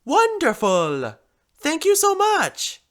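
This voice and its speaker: A woman voicing an exuberant female pony customer with an Italian accent and a loud, emphatic delivery in a story. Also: medium pitch, wildly swooping pitch, a little slow. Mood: triumphant. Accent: Italian, put on. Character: exuberant Italian mare customer, food lover.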